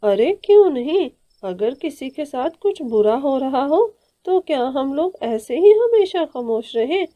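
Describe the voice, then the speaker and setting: A woman's voice: sits high, sing-song, measured pace, mellow. A woman voicing an outspoken, protective elder aunt bird in a cartoon, with a scolding, emphatic delivery. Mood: indignant, rallying. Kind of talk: Hindi children's cartoon dialogue.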